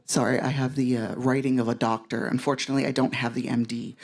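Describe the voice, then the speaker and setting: A man testifies before a committee, reading a prepared statement at a quick clip. His voice sits mid-range. Fillers creep into the opening lines. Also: medium pitch, level tone. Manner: fast, with hesitant fillers. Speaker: member of the public testifying.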